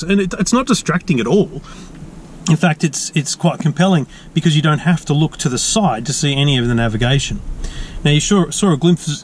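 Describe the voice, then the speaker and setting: An Australian man casually showing off a car's digital dashboard, relaxed and chatty with frequent ums and restarts, recorded inside a parked car. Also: on the high side, a little hiss or hum. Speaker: tech reviewer. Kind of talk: casual car feature walkthrough.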